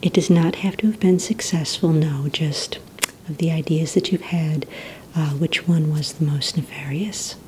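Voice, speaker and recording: A woman whispering breathily in a soft-spoken ASMR roleplay as a polite, encouraging receptionist, asking questions in a gentle, hesitant, unhurried way. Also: low voice, fairly even intonation, slight background noise, low-quality recording. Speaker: ASMR roleplay performer as a villain recruitment receptionist.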